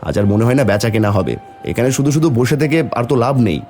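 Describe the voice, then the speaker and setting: Man acting plaintive poor village father, animated pitch. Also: quick pace, slight background noise, close to an everyday voice. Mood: hurt, defiant. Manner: lamenting, plaintive. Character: poor, worried village labourer and loving father. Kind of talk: Bengali fairy-tale cartoon acting.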